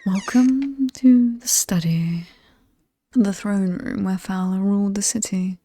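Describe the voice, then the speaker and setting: A woman with a British accent playing an alluring, sinister ageless demon in a horror ASMR roleplay, breathy and half-whispered, teasing and lingering over her words. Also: mid-range voice, steady tone, slow pace, dark tone, dry recording. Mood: sly, sinister. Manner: seductive, teasing, theatrically ominous, lingering on words.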